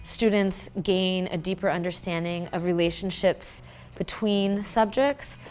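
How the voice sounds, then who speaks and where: A woman teacher calmly explaining her school's dance project in a documentary interview. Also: lowish voice, a little hiss or hum. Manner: explanatory, measured.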